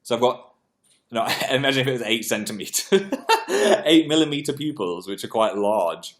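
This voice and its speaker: A man's voice: medium pitch, animated, normal speed, fairly bright tone. A young man, congested, chatting casually on a livestream and reading viewer comments aloud. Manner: chatty, self-deprecating, reads comments aloud. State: congested, clearing throat. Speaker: livestream host.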